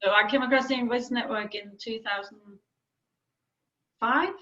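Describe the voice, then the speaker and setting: A woman's voice: a little high, fairly even intonation, bright, forceful tone. Danish-accented woman, thoughtful and muffled. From Denmark. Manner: thoughtful, with frequent pauses and fillers. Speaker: guest, peer expert on hearing voices. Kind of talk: reflective interview answers.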